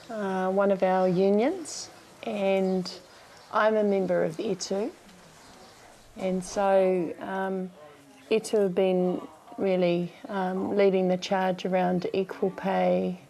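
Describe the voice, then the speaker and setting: A woman's voice: medium pitch, gravelly. A woman with a New Zealand accent talking casually and cheerfully on location as a politician. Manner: warm, chatty, cheerful. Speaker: member of parliament.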